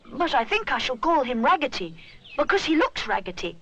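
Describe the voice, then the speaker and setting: Woman voicing an earnest, high-pitched boy bear. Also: very animated, fairly quick, fairly bright tone, slight background noise. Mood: doubtful. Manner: earnest, anxious, exclaiming.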